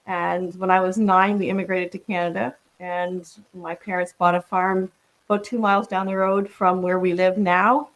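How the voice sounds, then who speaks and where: A woman's voice: lowish voice, flat delivery, moderate pace, poor audio. A woman in her sixties from the Netherlands, a podcast guest talking reflectively and casually, with frequent ums and restarts. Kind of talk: casual interview conversation.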